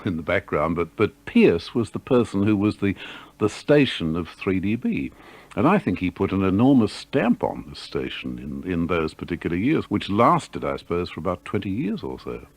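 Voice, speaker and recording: A man's voice: sits low, dry recording. An older man, a radio veteran reminiscing in an interview and often rephrasing as he goes. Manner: hesitant, self-qualifying phrasing. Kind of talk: reflective interview talk.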